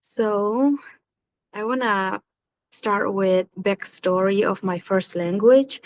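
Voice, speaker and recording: A young woman from Burma, speaking English as a later language, telling her own story in a halting way with many pauses and fillers. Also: mid-range voice. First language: Zaniat. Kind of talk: personal testimony, recorded talk.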